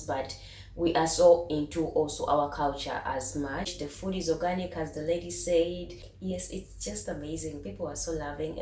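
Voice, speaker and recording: A woman's voice: sits low, darkish tone. A woman from Uganda hosting a reaction video, commenting with enthusiasm and emphasis. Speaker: reaction channel host. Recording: echoey room, mic at a distance.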